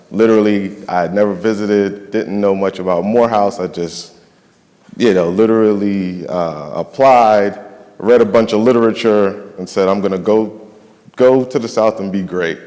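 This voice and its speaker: A man's voice: sits low, darkish tone, big-room sound. A young man from the Bahamas, a nonprofit finance and facilities staffer, speaking on a summit panel with many ums and restarts. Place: large event hall.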